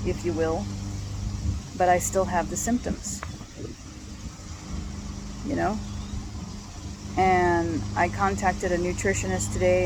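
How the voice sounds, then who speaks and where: A hoarse woman speaks in a low voice and a loose, halting vlog manner, stopping on ums and starting sentences over. Her voice sits a bit lower than most. Clear traffic and engine noise runs behind her outdoors. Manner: halting, with frequent ums and restarts. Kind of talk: casual personal vlog.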